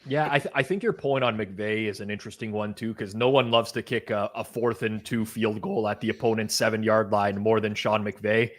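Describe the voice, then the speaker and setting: A man's voice: on the low side, fairly even intonation, a little fast, hardly any pauses. A man hosting a sports betting discussion, talking casually with restarts and dry asides. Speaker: betting show host.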